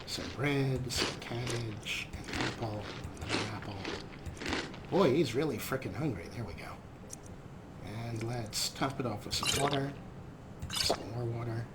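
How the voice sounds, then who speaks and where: Male let's play host, mid-pitched, wry and talkative showman style, noticeable background noise. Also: a little slow. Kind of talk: gameplay commentary. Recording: clearly noisy, game ambience underneath.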